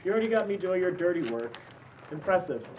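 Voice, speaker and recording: Man playing a sardonic housemate, distant, higher-pitched. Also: very animated, fast. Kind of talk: table read of a pilot script. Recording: distant mic, faint room noise.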